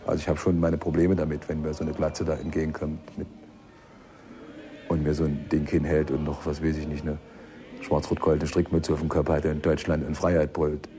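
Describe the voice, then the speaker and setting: A man's voice: very deep voice, completely flat delivery, fairly quick, warm tone, soft background music. A man giving spontaneous political reflections in a blunt, disillusioned way. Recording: faint ambient noise.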